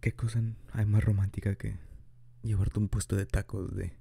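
A man's voice: fairly low pitch, little pitch movement, moderate pace, creaky, mellow, breathy edge, no room sound. A young man improvising an ASMR boyfriend roleplay, rambling chattily and laughing often into a close mic. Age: young adult.

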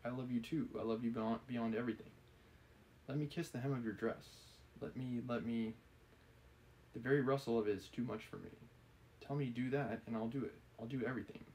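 A man reading the part of an obsessive, menacing gentleman from a novel, insistent and persuasive. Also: mid-range voice, steady tone, fairly quick, lightly acted, rough recording. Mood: feverish pleading. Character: obsessive, menacing gentleman pleading with and cornering a woman. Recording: clearly audible background noise.

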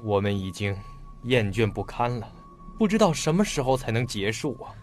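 An earnest young policeman played by a male actor in a radio drama. He speaks politely and seriously, at a medium pitch, over faint music. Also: sing-song, normal speed, lightly acted. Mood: grave, speculative.

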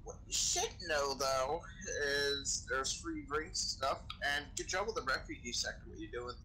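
A man's voice: mid-range voice, moderate pace, bright, forceful tone, poor audio. A young man improvising in character as a bartender in game role-play over voice chat. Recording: faint background noise on game voice chat.

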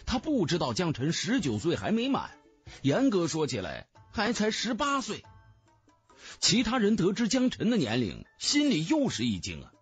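A man reads fantasy fiction as a dramatic storyteller. His pitch is on the high side and swings very widely, with music playing behind him. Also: huge pitch swings, normal speed, breathy edge. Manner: theatrical, storytelling. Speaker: audiobook narrator. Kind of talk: dramatic scripted narration of a fantasy novel.

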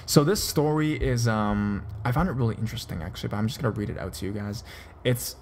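A man's voice: on the low side, quick pace, slight background noise. Man rambling with frequent stammers. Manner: stammers and restarts often; loose, chatty delivery with many fillers. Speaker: podcast host. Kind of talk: rambling casual podcast chat.

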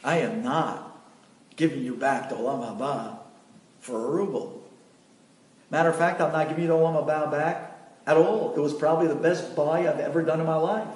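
A man voicing a shrewd butcher who answers flatly and refuses to give anything back. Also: a little high, moderate pace, lightly acted. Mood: blunt. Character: shrewd male butcher, blunt and unmoved. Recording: echoey room, distant mic.

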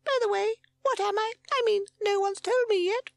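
A woman voicing a tiny, proud, inquisitive storybook baby bird with a squeaky voice. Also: steady tone, moderate pace, gravelly, no room sound. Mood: delighted, curious.

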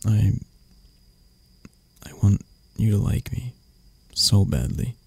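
A man acts out an arrogant CEO boss with a soft heart in an intimate ASMR roleplay. His low voice is husky and full of fry, halting and breathy, close to a whisper at times. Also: expressive, no echo, heavily acted. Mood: tender, halting. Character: arrogant, prickly CEO boss, softening into vulnerable flirt.

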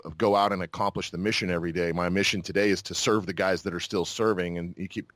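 An American man in a casual interview, telling his story in a reflective, halting, conversational way. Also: deep voice, fairly even intonation, a bit bright, dry recording. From Utah and New Mexico, United States. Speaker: podcast guest, actor and army veteran.

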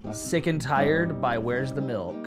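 A man's voice: mid-range voice, slow, over loud music. A man of about thirty chatting casually as a livestream host, joking and swearing freely, close on a microphone. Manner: profane, animated, joking. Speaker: host of a music listening livestream. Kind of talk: casual chat. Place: small home studio room. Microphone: close microphone on boom arm.

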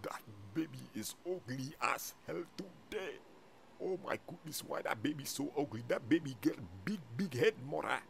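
A man's voice: medium pitch, animated, fairly quick, bright, forceful tone, slight background noise, voice pushed down. A man voicing a blunt, mischievous and rude male sidekick in a comedy skit, with muttered slangy talk. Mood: mocking.

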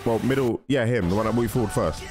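A man's voice: fairly low pitch, fast. A young man giving casual, slangy reaction commentary, thinking aloud and repeating himself, close on the mic. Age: young adult. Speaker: reaction video host. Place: indoor room. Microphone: large microphone close to mouth. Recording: music and show audio behind.

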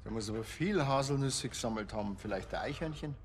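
Ein Schauspieler spielt einen derben, witzelnden bayerischen Dorfpolizisten mit bayerischem Akzent in einem Fernsehkrimi. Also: male voice, mid-range voice, lively intonation, quick pace, slight background noise, close to an everyday voice. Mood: easygoing. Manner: folksy, teasing banter. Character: Bavarian village cop, blunt and joking. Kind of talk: TV crime comedy dialogue.

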